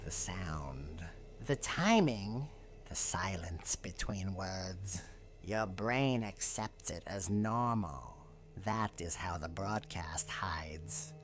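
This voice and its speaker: A woman delivering scripted analog horror narration in short, deliberate, ominous phrases. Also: a bass voice, expressive, slight background noise. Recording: music behind the voice. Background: loud music.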